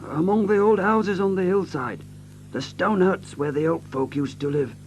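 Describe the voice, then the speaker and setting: An actor playing a fearful, deferential manservant in an audio drama, speaking with uneasy, respectful earnestness. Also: male voice, sits high, normal speed, a little hiss or hum, close to an everyday voice, rough recording. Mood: fearful, foreboding.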